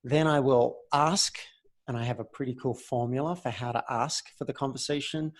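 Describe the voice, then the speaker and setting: Measured male podcast host and coach. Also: mid-range voice, fairly even intonation. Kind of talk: conversational coaching and show introduction.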